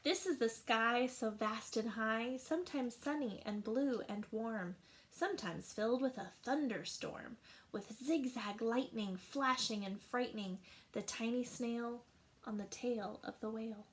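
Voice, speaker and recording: Slow, expressive woman reading a storybook. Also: on the high side, slight background noise, low-quality recording. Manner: slow, expressive rhyming narration. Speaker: teacher reading a picture book aloud. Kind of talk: storybook read-aloud.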